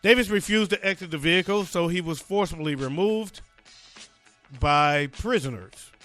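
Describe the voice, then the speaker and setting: A man hosts a comic news podcast with a fairly high voice. He reads out strange crime stories and breaks in with sarcastic jokes. Faint music plays behind him. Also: moderate pace, fairly bright tone. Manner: sarcastic, joking asides. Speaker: podcast host.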